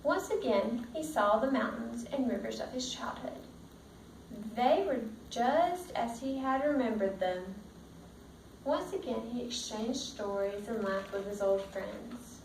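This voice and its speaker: Female teacher, storybook read-aloud, higher-pitched voice, roomy sound with faint noise. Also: animated, measured pace, a little mellow. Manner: checks understanding with simple questions. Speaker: teacher reading a picture book to children. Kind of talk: read-aloud with questions to young pupils. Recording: roomy, distant mic, faint background noise.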